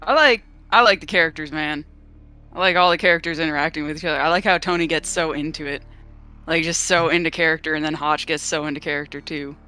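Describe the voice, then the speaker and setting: An upbeat man with a raised pitch and a strikingly bright, sharp voice talks casually about a game session. His tone is smooth and crisp, he laughs easily, and soft music sits faintly underneath. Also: steady tone, fast. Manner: upbeat, laughs often, fillers. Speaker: player. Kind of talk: casual post-game chat.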